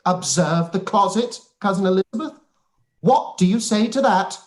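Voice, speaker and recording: A man's voice: sits high, animated, moderate pace. A man playing a pompous, obsequious clergyman in a radio play, wordy and effusive. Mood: gushing, boastful. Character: pompous, fawning male clergyman cousin, self-satisfied and obsequious. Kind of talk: radio play live read.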